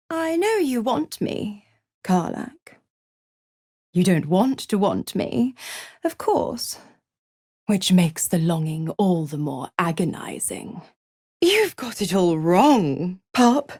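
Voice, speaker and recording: An actress playing a seductive, mocking, imperious female devil in a video game, with a sultry, taunting and theatrically drawn-out delivery. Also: medium pitch, darkish tone, no room sound, clean studio sound, heavily acted. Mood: teasing, sultry, then taunting, commanding.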